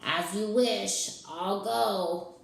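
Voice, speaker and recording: A woman voicing a mild, bookish male monster with a breathy voice and polite manner, in a storybook reading. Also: very slow, roomy sound, poor audio. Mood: miffed. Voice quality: low, breathy.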